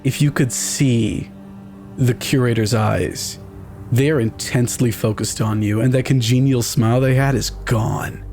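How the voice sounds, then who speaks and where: A man's voice: mid-range voice, steady tone, measured pace, a little mellow, soft background music. A man taking part in a tabletop game, casually improvising narration of the scene.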